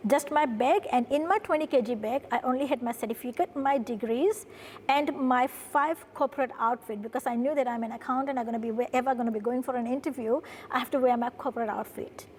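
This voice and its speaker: A woman's voice: high-pitched, a little fast, darkish tone, slightly rough. A woman from Fiji telling her story in an earnest interview, often adding "right?" as she talks. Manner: earnest, tag questions with "right?". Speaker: guest, production company founder. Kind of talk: interview conversation. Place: studio.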